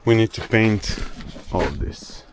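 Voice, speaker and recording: A man's voice: lowish voice, measured pace. A man whose first language is Croatian, speaking English in a casual vlog as he works around a rural summer house. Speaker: vlogger. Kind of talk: casual vlog narration while doing chores. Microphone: action camera.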